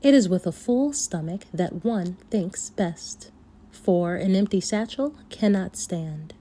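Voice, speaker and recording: A woman storyteller reading a folktale aloud for children, with expressive, deliberate phrasing. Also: mid-range voice, a little hiss or hum.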